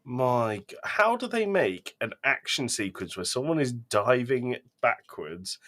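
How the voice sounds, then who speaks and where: A man's voice: mid-range voice, very animated, unhurried, a bit bright. A man co-hosting a podcast, discussing films casually with emphatic, exasperated delivery. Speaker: podcast co-host.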